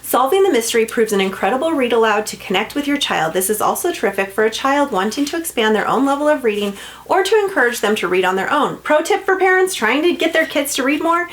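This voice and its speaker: A woman's voice: high-pitched, big pitch swings, fast, fairly bright tone. A woman giving an upbeat, enthusiastic scripted promotional narration, like a children's book seller. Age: adult, parent of a school-age child.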